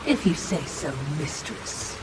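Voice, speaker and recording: Snide nervous spirit, slow and sarcastic, echoey and far off. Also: male voice, a little high, very animated. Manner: snide, mock-deferential. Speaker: game character. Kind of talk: video game cutscene acting. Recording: echoey, distant, noisy game audio.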